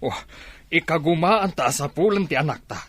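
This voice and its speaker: Man acting a husky, expressive concerned father. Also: on the high side, normal speed, dry recording. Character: middle-aged father and husband, concerned. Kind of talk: Ilocano radio drama acting.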